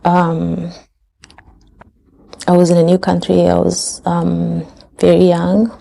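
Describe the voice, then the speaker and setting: A woman from Rwanda recalling her past in a slow, measured, flat personal testimony. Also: fairly low pitch, creaky. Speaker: interviewee.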